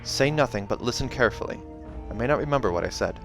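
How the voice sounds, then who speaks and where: A man's voice: mid-range voice, lively intonation, fast, music in the background, lightly acted. A man voicing a savage young female seer from a fantasy audiobook, mystical and haunted, speaking in dramatic, incantatory fashion. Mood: solemn. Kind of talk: audiobook character acting.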